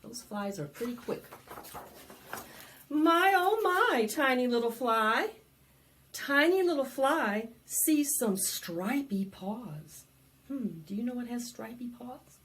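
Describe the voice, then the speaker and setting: Female children's storytime host, unhurried pace, very lively pitch, sing-song delivery. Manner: sing-song, dramatic, asks children questions. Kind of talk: animated preschool read-aloud with singing.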